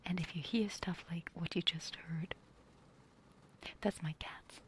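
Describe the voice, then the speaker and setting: A woman doing a casual ASMR livestream in a breathy whisper and hushed stage whisper, flustered and halting, often repeating her words. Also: on the low side, fairly even intonation. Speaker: livestreamer hosting an ASMR stream.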